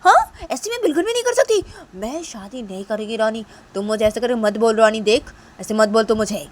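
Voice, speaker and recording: A woman voicing a stubborn young sister who refuses to marry, talking high and loud in indignant protest. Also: fairly even intonation, fairly quick, lightly acted.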